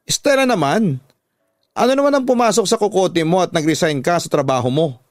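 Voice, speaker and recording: A man's voice: high-pitched, animated, fairly quick, dry recording, studio quality, pitched above the performer's own voice. A man voicing an angry, nagging father who scolds his daughter with exasperated insults in a radio drama. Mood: angry, disappointed.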